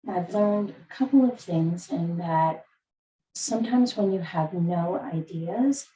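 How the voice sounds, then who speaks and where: A woman's voice: lowish voice, a little slow, warm tone, echoey room, far from the mic, slight background noise, poor audio. A woman teacher speaking earnestly on a video call. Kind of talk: reflective introduction in a video call.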